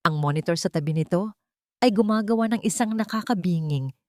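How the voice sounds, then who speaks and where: A woman's voice: on the low side, brisk, warm tone, no room sound, studio quality. A woman reading a scripted romance story as a dramatic narrator.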